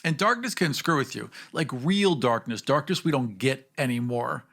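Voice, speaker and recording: A man's voice: mid-range voice, expressive, moderate pace, gravelly, fairly bright tone. A man talking casually and reflectively on a podcast panel, telling things in a vivid storytelling way.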